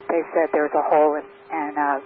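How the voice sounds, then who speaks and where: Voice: mid-range voice, little pitch movement, fairly quick. An airline pilot speaking in a composed, matter-of-fact way over an aircraft radio. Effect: aircraft radio transmission. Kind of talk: radio communication with air traffic control. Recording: noisy, narrow cockpit radio transmission.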